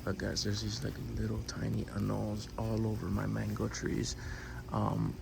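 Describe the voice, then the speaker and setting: A man with a fairly low voice and a creaky, gravelly edge chats in a laid-back way as he hosts a garden tour. He sounds unscripted and conversational, recorded outside with clear ambient noise around him. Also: monotone, moderate pace. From born in Cuba, raised in Miami. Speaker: host giving a tour of home fruit garden. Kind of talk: casual unscripted vlog narration. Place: outdoors in a backyard.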